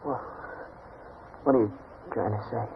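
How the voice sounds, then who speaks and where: An actor playing a bedridden, lovelorn young man, weak, breathy and hesitant, in a 1940s radio drama. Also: lowish voice, fairly even intonation, a little slow, natural voice. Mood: hurt, hesitant. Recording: tinny, noisy 1940s radio recording.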